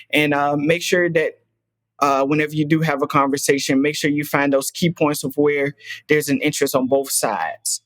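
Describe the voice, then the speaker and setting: A man's voice: on the high side, dry recording. A man in his twenties, a podcast guest talking earnestly and reflectively, with many fillers and restarts. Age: 20s. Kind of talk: casual interview conversation.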